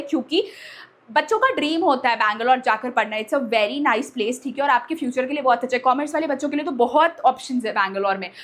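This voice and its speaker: A woman with a notably high voice talks directly to young students as their online teacher. She addresses them familiarly, like an elder sister, mixes English phrases into her speech and often adds short tags to check they follow. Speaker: online teacher and channel host. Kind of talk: direct-to-audience vlog talk with a sponsored pitch.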